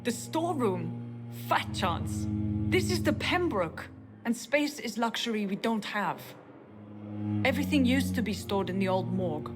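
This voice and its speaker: An actress playing a brisk, no-nonsense hospital nurse in a period video game, with a formal, pointed way of talking. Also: female voice, mid-range voice, very animated. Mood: worried, exasperated. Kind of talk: period drama game voice acting. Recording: game music behind the voice.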